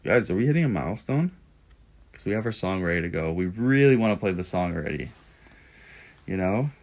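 A man's voice: very animated, brisk, a little mellow. A young man hosting a casual livestream from a small room, reading and answering viewer comments in a playful way. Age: young adult. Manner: playful, bursts into high owl hoots. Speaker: livestream host. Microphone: phone held close.

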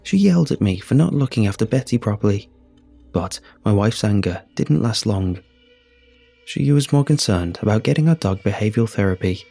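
Guy reading creepy stories, low husky voice, solemn and measured, music underneath. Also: expressive, warm tone, dry recording. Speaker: horror story narrator. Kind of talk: scripted first-person horror narration.

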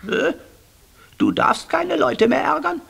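An actor voicing a bossy talking raven in a children's audio play, croaky and scolding, given to lecturing. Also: high-pitched, lively intonation, takes it slowly, a bit bright, full character voice. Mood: stern, lecturing. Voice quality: croaky, rasping.

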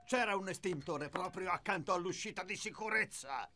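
A man's voice: high voice, normal speed, bright, forceful tone, slight background noise. A man voicing a pompous, fussy fire captain in a children's cartoon, blustering and scolding. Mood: indignant. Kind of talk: children's cartoon dub acting.

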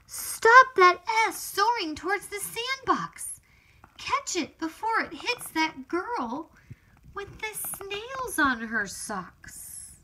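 A woman reading a children's picture book aloud in an expressive, exclamatory, sing-song storytelling manner for young children. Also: very high-pitched, takes it slowly, slight background noise, poor audio.

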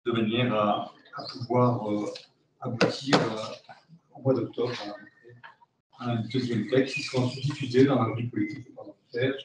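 A man's voice: medium pitch, fairly even intonation. Man opening a workshop from far off. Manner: halting, many restarts and "euh". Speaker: organiser of the workshop. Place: meeting room with tables in a U shape. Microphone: far room camera. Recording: echoey room, distant mic, noisy.

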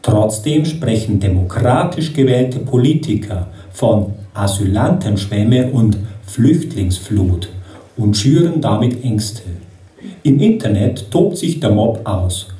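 A man's voice narrates in a grave, deliberate tone, unhurried and dark-timbred. It carries through a theatre hall, boomy and distant, as if caught by a camera far back in the seats. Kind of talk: solemn scripted narration over a projected film. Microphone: audience camera far from the stage.

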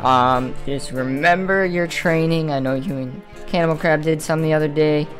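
A man's voice: fairly high pitch, normal speed, a bit bright, lightly acted. A man plays a kraken villain in a Minecraft roleplay, a commanding but informal father. He orders his minions about and fiercely guards his child, with faint music and game gunfire behind him. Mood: commanding, protective.

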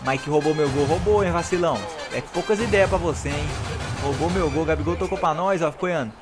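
A young Brazilian man, hoarse from recording, giving excited, slangy commentary over his own football video game match and talking straight to his viewers. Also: on the high side, fast, over music. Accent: Brazilian Portuguese. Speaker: gaming YouTuber. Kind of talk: live gameplay commentary.

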